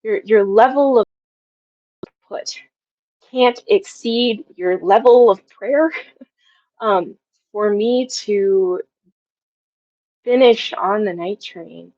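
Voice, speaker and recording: A woman's voice: medium pitch, drawn-out words, long pauses, darkish tone, dry recording, highs cut off. A woman in her twenties chatting casually as an interview guest, thinking aloud as she talks. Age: 20s. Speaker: guest, audio drama writer.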